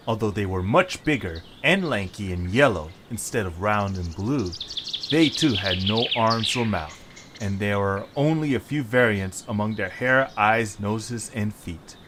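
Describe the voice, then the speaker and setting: A man's voice: on the low side, fairly bright tone. A man narrating a scripted story in a dramatic style. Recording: faint background noise with birdsong.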